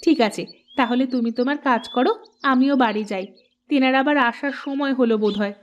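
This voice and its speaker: A woman's voice: high-pitched, fairly quick, dull audio, slight background noise, close to an everyday voice. A woman voicing a friendly, chatty village neighbour in a cartoon, with an easygoing manner. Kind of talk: cartoon voice acting.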